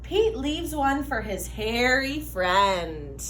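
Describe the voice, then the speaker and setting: High-pitched woman reading a children's story. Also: reverberant, slight background noise. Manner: animated, deliberate delivery for young listeners. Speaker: read-aloud storyteller for children. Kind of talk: expressive children's picture book read-aloud.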